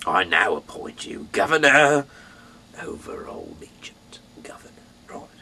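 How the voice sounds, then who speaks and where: A man from the UK reading scripture aloud in a dramatic, halting way, swinging between emphatic bursts and breathy, hushed delivery. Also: on the low side, huge pitch swings, whispered. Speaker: Bible reader, amateur narrator.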